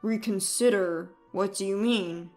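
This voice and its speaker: A woman acting an evasive, lower-voiced cheating husband. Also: a little slow, darkish tone, soft background music, close to an everyday voice. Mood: uneasy. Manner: exaggerated, pleading or dismissive. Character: evasive cheating husband, whiny and defensive when cornered. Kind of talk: dramatized audio story dialogue.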